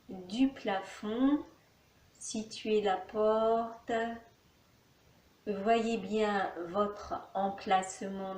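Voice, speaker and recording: A woman teaching yoga nidra, giving calm, soothing guided relaxation instructions with long pauses in an echoey room. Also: medium pitch, slight background noise.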